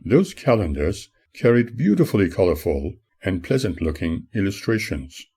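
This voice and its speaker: An older man, an author, reads his own memoir as scripted audiobook narration in a formal, measured manner. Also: sits low, big pitch swings, dark tone, slightly rough.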